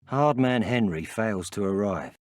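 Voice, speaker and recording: An actor playing a grim, terse narrator of a gangland crime game, speaking in a deliberate, ominous way. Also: male voice, on the low side, lively intonation, darkish tone, dry recording, studio quality. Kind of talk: scripted dramatic game narration.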